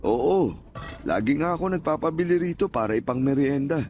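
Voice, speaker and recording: A man's voice: fairly high pitch, expressive, moderate pace, a little mellow, soft background music, lightly acted. An actor playing a kindly, talkative older father and former street vendor in a Tagalog radio drama, plainspoken and folksy. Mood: chatty, contented.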